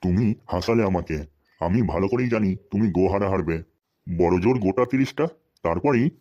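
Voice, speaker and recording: Man acting a deep-voiced sly cartoon husband, rural Bengali dialect. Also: a little fast, warm tone, lo-fi sound, natural voice. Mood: boastful. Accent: rural western Bengal Bengali dialect. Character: sly, penny-pinching henpecked husband in a comedy cartoon. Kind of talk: comic cartoon dialogue.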